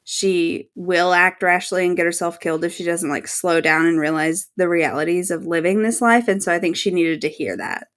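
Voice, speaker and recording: A woman's voice: on the low side, normal speed, hardly any pauses, a bit bright. A woman guest on a podcast, chatting casually and informally as a fan.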